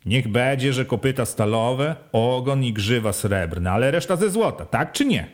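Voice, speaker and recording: A man voicing a forceful village official who drives home his questions in a raised, insistent voice. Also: mid-range voice, expressive, normal speed, natural voice. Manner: rising, insistent questioning, loud and sharp. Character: forceful village official, a middle-aged man, pressing and sly.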